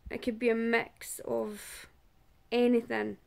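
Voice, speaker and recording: Slow, halting Scottish woman, gravelly. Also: fairly high pitch, level tone, a little mellow. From Scotland. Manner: halting, searching for words with long pauses. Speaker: awareness vlogger and support group organiser. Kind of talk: personal awareness talk to camera.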